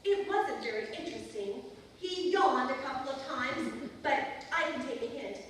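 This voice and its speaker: High-voiced scatterbrained wife played by a woman, echoey hall. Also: expressive, normal speed, fairly bright tone. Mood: chatty, confiding. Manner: earnest, muddles words and sayings. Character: scatterbrained, chatty married woman in a vintage radio comedy. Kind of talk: old-time radio comedy acting. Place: college radio theatre stage performance. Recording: echoey hall from far off, faint noise.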